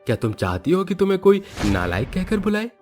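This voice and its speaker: Man acting out an expressive, caring father over music. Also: high voice, fairly quick, voice pushed up. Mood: gently admonishing. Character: caring middle-aged father in a moral cartoon story. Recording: background music under the voice.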